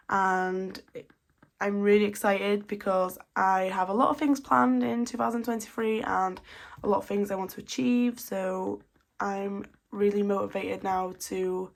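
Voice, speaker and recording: A woman, chatting casually and counting cash aloud, slightly blocked up from a cold. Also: medium pitch. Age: 20s. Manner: chatty, steady counting of notes. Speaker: budgeting vlogger.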